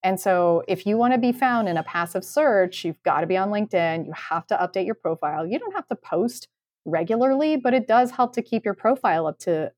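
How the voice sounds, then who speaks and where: A woman's voice: lowish voice, a little mellow. A woman co-hosting a podcast in casual, informal, conversational talk with a sardonic edge. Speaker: podcast co-host and recruiter.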